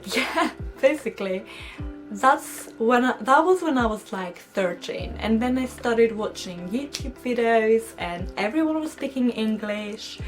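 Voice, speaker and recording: Young woman, Greek accent, chatty, giggly, slow, slightly high voice, soft background music. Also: poor audio. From Greece. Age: young adult. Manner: chatty, giggly, animated quoting of herself. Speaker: vlogger. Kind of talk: casual storytime chat to camera.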